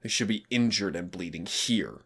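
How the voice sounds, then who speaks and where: Demon hunter mentor for audio roleplay , male actor: very low, husky, teasing yet stern, measured dramatic delivery. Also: fairly bright tone, heavily acted. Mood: stern, coaxing. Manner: teasing, deliberate, dramatic pauses. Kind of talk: audio roleplay acting, intimate second-person dialogue.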